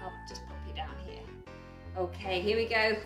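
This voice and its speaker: A woman's voice: medium pitch, fairly even intonation, normal speed, over loud music. A young woman presenting a playful children's cooking show in a home kitchen, bubbly and sing-song. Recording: echoey kitchen.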